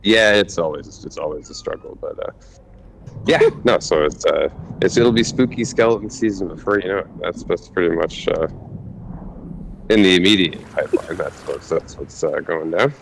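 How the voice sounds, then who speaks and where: A man's voice: low voice, animated, normal speed, creaky, slight background noise, rough recording. A man chatting casually as a regular on the show, rambling and often correcting himself mid-sentence. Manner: rambling, self-correcting, filler-heavy. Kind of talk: casual chat.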